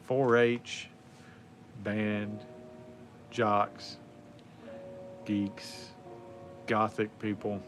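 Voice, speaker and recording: A man's voice: on the low side, flat delivery, very slow, long pauses, over music. Laid-back man in his forties. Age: 40s. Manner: rambling, laid-back, drawling with many fillers. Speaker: livestream host. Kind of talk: casual chat.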